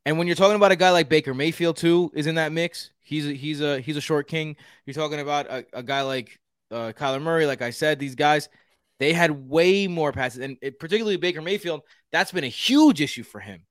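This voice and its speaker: A man co-hosting a sports podcast in a relaxed, rambling way. He often stumbles and repeats himself mid-thought. His voice sits a little high and sounds close and dry. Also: brisk, dry recording. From Houston, Texas. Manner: chatty, rambling, repeats words while thinking. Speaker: podcast co-host. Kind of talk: casual sports podcast chat.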